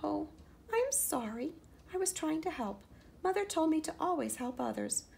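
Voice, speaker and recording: Storybook mouse: a woman voicing a tiny helpful girl mouse, high and eager, lively lilt. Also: moderate pace, gravelly, slight background noise, exaggerated voice. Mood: apologetic. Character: small eager young female mouse, helpful.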